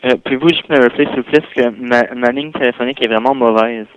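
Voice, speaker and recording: A man's voice: mid-range voice, fairly even intonation, fast talker. Quebec French man on a noisy phone line. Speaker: hotel reservation clerk. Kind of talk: customer service phone call. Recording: phone line with clear noise and clicks.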